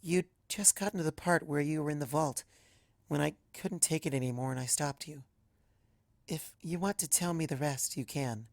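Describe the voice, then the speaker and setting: Narrator doing a sweet teasing girl pegasus pony, reassuring, storybook style, mid pitch, dry sound. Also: moderate pace, no echo, lightly acted. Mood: tender, reassuring. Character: playful, affectionate young female pegasus pony.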